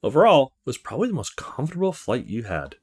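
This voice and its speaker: A man reads out a visual novel as host of a let's play. His voice sits at a middle pitch and moves up and down a great deal as he narrates. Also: huge pitch swings, fast, husky. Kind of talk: reading a visual novel aloud.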